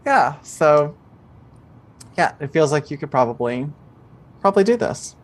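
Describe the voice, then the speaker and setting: A woman's voice: sits low, big pitch swings, unhurried. A woman improvising narration as game master of a tabletop game, speaking in a casual, conversational way.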